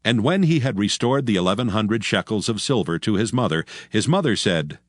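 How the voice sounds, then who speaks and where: Low-pitched solemn male scripture narrator. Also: expressive, brisk, dry recording, clean studio sound.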